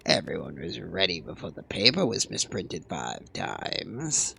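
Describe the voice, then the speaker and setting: A hoarse man voicing a sardonic veteran male bank teller who mentors a new recruit with theatrical, drawn-out mockery. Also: low-pitched, huge pitch swings, pushed and bright, a little hiss or hum, voice pushed down, heavily acted. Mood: mocking. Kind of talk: video game character voice.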